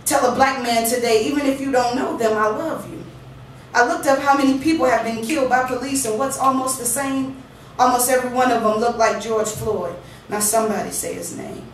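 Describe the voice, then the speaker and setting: A woman's voice: on the high side, fairly even intonation, a little fast. A woman performing spoken word poetry with a rhythmic, emphatic delivery and loose, conversational asides between pieces, at a small living-room poetry venue. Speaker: featured poet. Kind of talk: spoken word poetry with casual stage banter. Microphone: room recording from a distance. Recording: very echoey room, distant mic, faint hum.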